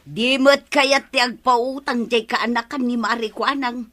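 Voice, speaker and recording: An actress with an Ilocano accent playing a sharp-tongued, nagging middle-aged village woman in a radio comedy drama. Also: a little high, steady tone, measured pace. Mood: prying.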